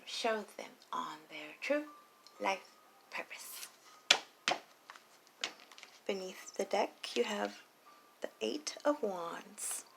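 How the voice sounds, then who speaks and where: A woman reading tarot late at night, talking softly and low and slipping into whispers. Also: gravelly, slight background noise. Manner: soft and low, drifting into whispers. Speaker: tarot reader.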